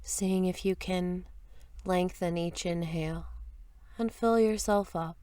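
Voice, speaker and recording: A woman leading a guided meditation in a soft, gentle, soothing voice, with unhurried phrases and long pauses, at times breathy. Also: on the low side, no room sound. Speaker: meditation teacher.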